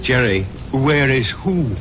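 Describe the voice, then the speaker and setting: An actor playing a genial, philosophical barkeeper with gentle concern, in a 1970s radio drama. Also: male voice, mid-range voice, animated, slow. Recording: dull, noisy old radio broadcast.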